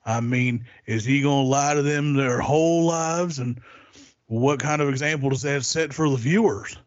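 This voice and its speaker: A man's voice: mid-range voice, normal speed, dry recording, dull audio, close to an everyday voice. A man playing a concerned, indignant caller to a radio show in a comedic audio play.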